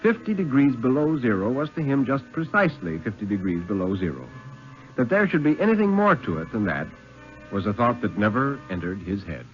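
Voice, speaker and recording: An American man reads fiction aloud as a dramatic radio storyteller of the 1950s. His mid-pitched voice moves widely and expressively through solemn, deliberate phrases. The recording is dull and muffled. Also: big pitch swings, faint music behind.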